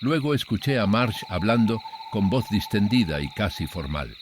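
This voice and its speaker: A man narrating a scripted horror story in a measured, literary storytelling manner. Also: on the low side, big pitch swings, noisy background. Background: background music.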